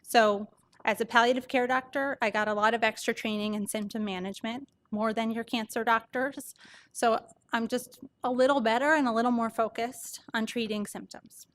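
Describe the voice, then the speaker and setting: A soft-voiced woman doctor gives an informal medical presentation to an audience, explaining things conversationally. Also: a little high, steady tone, moderate pace. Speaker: palliative care doctor presenting.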